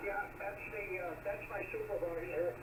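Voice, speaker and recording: A man's voice: high voice, fairly even intonation, moderate pace. A man in his late seventies chatting casually over ham radio in a hesitant, rambling, folksy way. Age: late 70s. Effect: shortwave single-sideband radio transmission. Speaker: amateur radio operator. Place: outdoors portable, heard over a radio speaker. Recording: very noisy, roomy, distant radio signal. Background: loud radio static noise.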